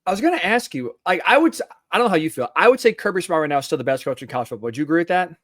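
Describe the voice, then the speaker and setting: High-voiced man, dry close sound. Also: very animated, very fast, a bit bright, no echo. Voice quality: high-pitched voice. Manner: tosses in "right?" tag questions. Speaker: podcast co-host. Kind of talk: casual sports talk.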